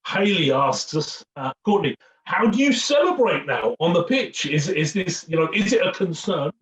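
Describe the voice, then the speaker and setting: A man's voice: sits high, big pitch swings, normal speed, a bit bright. Echoey, distant man hosting a chatty Q&A. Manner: jokey, rambling, repeats words. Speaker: host of a fan Q&A. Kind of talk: chatty, informal interviewing. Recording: muffled, echoey, distant from mic.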